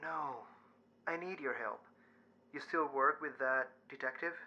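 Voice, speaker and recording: An actor playing a wry, anxious mortuary worker in an audio drama, measured in delivery, heard over a call. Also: male voice, medium pitch, sharp tone, heavily acted. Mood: worried. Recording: dull, band-limited call sound.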